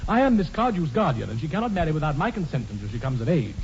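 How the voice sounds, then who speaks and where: A man playing an earnest, formal gentleman with an upper-class English accent and clipped, precise speech in a radio comedy. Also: fairly high pitch, sing-song, quick pace. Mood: firm, indignant. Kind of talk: 1940s radio comedy acting. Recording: dull, noisy 1940s radio broadcast.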